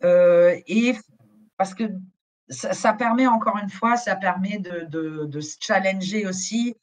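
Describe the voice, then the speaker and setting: A woman's voice: mid-range voice, unhurried. A middle-aged woman whose first language is German, a company director talking casually in a live interview, full of fillers and restarts. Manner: chatty, colloquial, many fillers and restarts. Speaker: agency director, guest.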